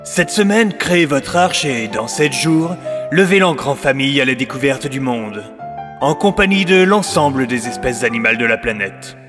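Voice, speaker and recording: A man playing a bombastic announcer, theatrical and deliberate, delivering a dramatic script. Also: medium pitch, lively intonation, natural voice. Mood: promotional. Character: bombastic male narrator and trailer announcer. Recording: long reverb under loud music.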